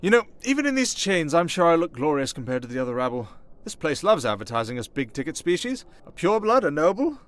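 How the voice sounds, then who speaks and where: A man playing a smooth-talking, sarcastic vampire noble, chatting idly and vainly with a fellow prisoner in an ASMR roleplay. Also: on the high side, sing-song, quick pace, few pauses. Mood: chatty.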